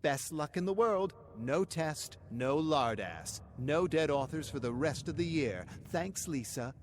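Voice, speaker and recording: A man's voice: fairly high pitch, expressive, unhurried, slight background noise, pitched above the performer's own voice. A man voicing a mocking, brash teenage schoolgirl in a flippant, taunting way for a story. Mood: gleeful.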